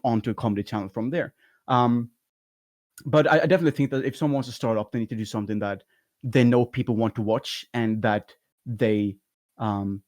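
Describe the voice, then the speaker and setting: A man's voice: mid-range voice, a little mellow. A man with a light voice, a talkative guest in a casual interview who rambles and laughs often. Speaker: guest, YouTube content creator.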